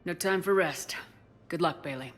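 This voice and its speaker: A woman's voice: fairly low pitch, moderate pace, fairly bright tone. A woman playing a tough, confident military commander in a video game, direct and dryly humorous. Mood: businesslike. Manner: direct, dry.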